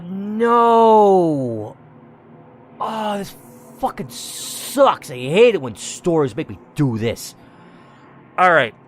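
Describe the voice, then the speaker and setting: A man's voice: high voice, a little hiss or hum. A man narrating a story game dramatically and reacting to its choices with emphatic commentary, as a gaming host.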